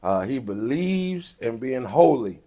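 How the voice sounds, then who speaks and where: A man speaking as a pastor and producer in a post-show interview, with a deliberate, halting delivery. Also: medium pitch, sing-song, dark tone.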